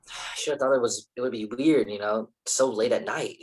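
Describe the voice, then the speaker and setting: A man's voice: mid-range voice, normal speed, breathy edge, natural voice, poor audio. A man acting as an awkward, protective young actor friend in a staged play reading, hesitant and defensive. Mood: sheepish.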